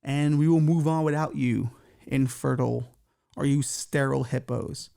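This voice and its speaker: A man's voice: medium pitch, steady tone, normal speed, vocal fry, warm tone, close to an everyday voice. A man voicing a stern hippo herd that coldly shuns its sterile members, as a comedy bit. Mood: dismissive.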